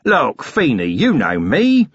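A man voicing a cowardly, whining small-time male crook who stammers and wheedles in rough working-class speech. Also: medium pitch, wildly swooping pitch, slow, fairly bright tone, dry recording, muffled recording, voice pushed up, exaggerated voice.

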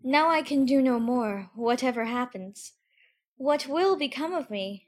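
A woman's voice: sits high, lively intonation, unhurried. A woman voicing a curious, chatty young girl, musing primly to herself in an audiobook. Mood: worried. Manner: musing, prim, talking to herself. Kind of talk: audiobook character acting.

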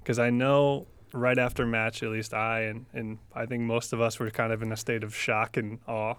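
A man's voice: medium pitch, little pitch movement, moderate pace, a bit bright, clean tone, no echo. A man around thirty co-hosting a podcast in casual, conversational chat. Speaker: podcast co-host, medical student.

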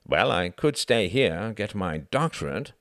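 A man's voice: lowish voice, big pitch swings, a little slow, a bit bright, no echo, clean studio sound, full character voice. A man voicing a reserved, sceptical, slightly irritable Oxford graduate student in a storybook, terse and guarded in manner. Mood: dry, doubtful.

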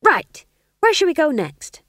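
A woman's voice: high-pitched, huge pitch swings, measured pace, a bit bright, dry recording, studio quality, pitched above the performer's own voice, heavily acted. A woman voicing Tom John, an eager young man out for a night of fun.

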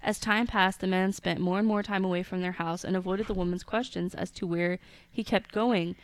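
Woman, podcast co-host, reads a scary story aloud, jokey asides, smooth clear voice. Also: fairly low pitch, steady tone, normal speed. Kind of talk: casual chat and story reading.

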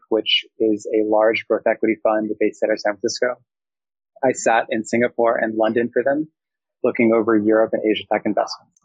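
A man's voice: lowish voice, slightly rough, no room sound, highs cut off. A young man from Thailand, a startup founder, giving fluent, reflective interview answers full of business terms.

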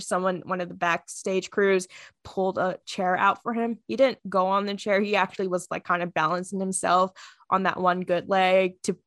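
A woman's voice: medium pitch, fairly bright tone, no room sound, clean studio sound. Stuffy-voiced chatty woman. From Washington State. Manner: chatty, rambling, laughs often. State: stuffy from a cold. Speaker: podcast co-host; teacher. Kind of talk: casual chat.

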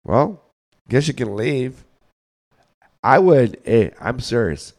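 A hoarse man, getting over the flu, hosts a podcast and delivers a blunt, scolding sports rant, often repeating words as he thinks. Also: medium pitch, sing-song, slow pace, darkish tone. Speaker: podcast host.

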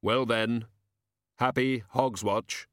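Grave, deliberate Death, unhurried lines, read by a man. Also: medium pitch, fairly even intonation, fairly bright tone, clean tone, no room sound, clean studio sound. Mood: measured. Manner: slow, measured, formal. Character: Death, grave solemn skeletal male figure.

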